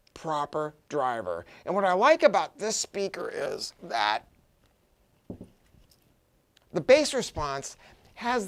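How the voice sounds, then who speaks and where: Male American product reviewer, highly animated delivery, chatty and emphatic, off-the-cuff salesman style. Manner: chatty, emphatic, repeating words for stress. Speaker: audio shop owner presenting a product review. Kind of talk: enthusiastic unscripted product pitch.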